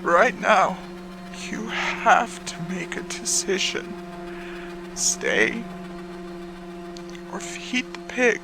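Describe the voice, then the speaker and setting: A woman voicing a weary, cowardly damned soul in a horror story, speaking slowly and haltingly with drawn-out pauses. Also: medium pitch, big pitch swings, creaky, noisy background, pitched below the performer's own voice, exaggerated voice. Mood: bitter, ominous. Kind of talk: horror story character acting.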